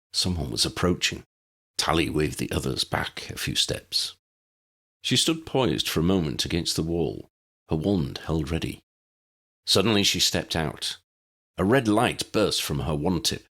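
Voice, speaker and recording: A man's voice: low voice, fairly bright tone. A man reading a scripted story aloud as an audiobook narrator.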